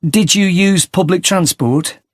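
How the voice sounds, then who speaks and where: A man's voice: high voice, measured pace, no room sound, studio quality. A man playing a polite, sympathetic street interviewer asking transport survey questions in a scripted listening-test dialogue. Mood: friendly.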